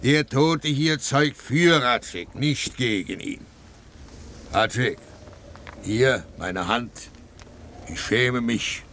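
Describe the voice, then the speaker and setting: Slow, sober master carpenter. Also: male voice, medium pitch, a bit bright, a little hiss or hum. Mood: solemn, contrite. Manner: deliberate, earnest. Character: sober, level-headed master carpenter, a man. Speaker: actor playing a carpenter. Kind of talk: German radio drama acting.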